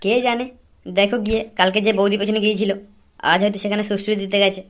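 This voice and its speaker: A woman's voice: medium pitch, level tone, quick pace, close to an everyday voice. A woman voicing an impatient, grumbling young male cartoon sidekick with a West Bengal Bengali accent and slangy talk. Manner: slangy, nagging. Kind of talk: comic cartoon dialogue.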